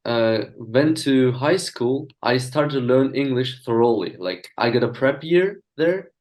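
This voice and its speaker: A man's voice: mid-range voice, expressive, measured pace, darkish tone. Young Turkish-born man, hesitant speech. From Turkey. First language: Azerbaijani and Turkish. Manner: hesitant, many fillers and restarts. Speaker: interview guest. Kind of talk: casual video-call interview.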